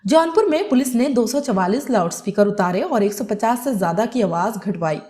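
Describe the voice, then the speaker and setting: Female news narrator, fairly high voice, scripted report delivery. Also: lively intonation, fairly quick, dark tone.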